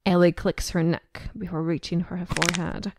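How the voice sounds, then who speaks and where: Female narrator, lower register, scripted drama storytelling, noisy recording. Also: little pitch movement, moderate pace.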